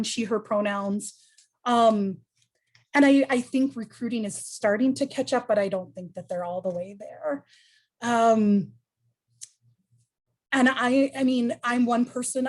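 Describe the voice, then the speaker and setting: A woman's voice: mid-range voice, a little mellow. A woman on a panel, answering a question in a thoughtful, earnest way with frequent ums and restarts.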